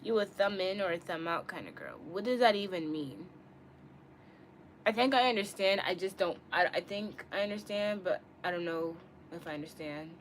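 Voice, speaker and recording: A woman's voice: on the low side, lively intonation, moderate pace. Casting: woman, early twenties, candid and slangy streamer chatting off the cuff, noisy room sound. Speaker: live streamer. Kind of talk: casual live-stream chat with viewers. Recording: clearly noisy room ambience.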